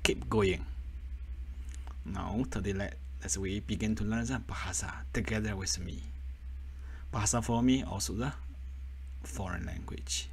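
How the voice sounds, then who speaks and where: A man introducing an online language lesson in halting, casual English. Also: fairly low pitch, creaky, slight background noise, low-quality recording. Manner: halting, non-native English phrasing. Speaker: language teacher.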